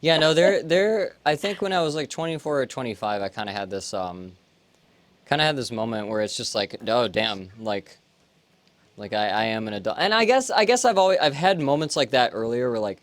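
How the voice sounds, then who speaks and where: A man's voice: medium pitch, brisk, no echo. A man in his late twenties, a streamer, chatting casually and rambling with lots of fillers. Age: 20s. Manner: relaxed, rambling, many fillers. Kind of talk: casual chat on a livestream.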